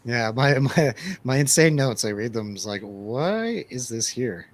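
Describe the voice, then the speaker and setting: A man with a mid-pitched voice hosts a movie review show. He chats casually and jokes around, playfully bantering as he goes. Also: animated, measured pace, a little hiss or hum. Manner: jokey, playful. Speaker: radio show host and DJ.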